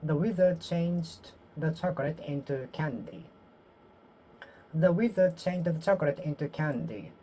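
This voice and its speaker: A Japanese woman with a Japanese accent practicing English aloud to herself, halting and repeating phrases as she corrects them. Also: deep voice, warm tone, a little hiss or hum, low-quality recording. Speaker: vlogger. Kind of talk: solo English speaking practice, talking to herself.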